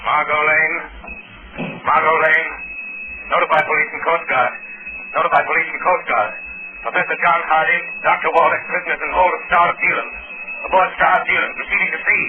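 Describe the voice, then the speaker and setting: A man's voice: a little high, flat delivery, a little fast, natural voice. Hero role male actor: ominous invisible crime fighter, authoritative and taunting, thin, hissy 1930s radio sound. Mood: urgent. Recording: very noisy, tinny 1930s radio recording.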